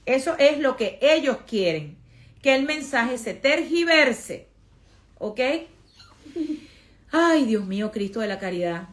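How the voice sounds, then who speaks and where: A woman's voice: fairly high pitch, sing-song, takes it slowly, pauses often. A woman with a Venezuelan accent talking casually and emphatically to her followers in a vlog, repeating phrases for effect. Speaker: social media influencer and vlogger. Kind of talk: casual get-ready-with-me vlog monologue.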